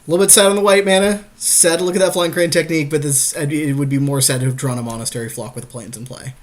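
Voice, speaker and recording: A man's voice: fairly high pitch, steady tone, fast talker. A man co-hosting a gaming podcast, giving casual, slangy commentary with a wry, joking manner. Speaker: podcast co-host and game partner.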